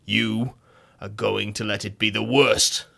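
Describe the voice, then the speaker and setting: A man's voice: fairly low pitch, fairly even intonation, unhurried, vocal fry, fairly bright tone, exaggerated voice. A man voicing a hypnotised man who believes he is a king and delivers rousing, bellowing battle speeches.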